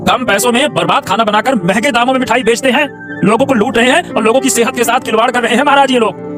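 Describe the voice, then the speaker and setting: A petty, scheming royal minister in a cartoon story. His voice is extremely high and he rattles along quickly, blustering and scolding, with loud music behind him. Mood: fawning, sly. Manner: blustering, scolding, repeats himself. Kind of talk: exaggerated Hindi cartoon voice acting.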